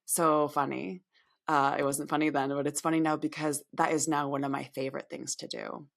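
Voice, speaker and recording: A woman in her thirties from upstate New York, a podcast guest. Her voice sits low with a gravelly edge, and she talks in a candid, reflective way, often circling back and restarting her words. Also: little pitch movement, fairly quick. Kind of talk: podcast interview.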